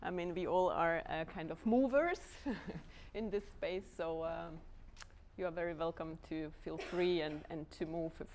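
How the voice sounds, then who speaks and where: A woman from Lithuania with a Lithuanian accent, giving an informal lecture to dance students, hesitant, with many pauses and restarts. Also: lowish voice, darkish tone, a little hiss or hum. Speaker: guest lecturer, artistic director.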